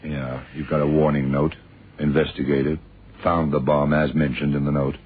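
Casting: cool, laconic radio-play detective, extremely low male voice, dull vintage sound. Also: flat delivery, normal speed, breathy edge. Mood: cool, probing. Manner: terse, laid-back questioning. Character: calm, shrewd male blind detective. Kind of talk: 1970s radio crime drama acting. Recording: muffled old radio recording, faint hiss.

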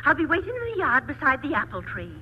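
An actress playing a shrewish, scheming aunt, prim and insistent, in a 1940s radio comedy. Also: female voice, sits high, huge pitch swings, quick pace. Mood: urgent. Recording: tinny, band-limited old radio broadcast.

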